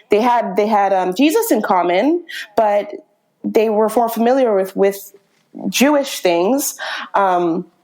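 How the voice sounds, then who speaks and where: A woman from northern California talks casually as a podcast guest, with a voice of ordinary, middle pitch. She speaks in loose, conversational bursts, restarting her sentences and laughing now and then as she goes. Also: a little mellow, rough recording. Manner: frequent restarts, laughs while talking.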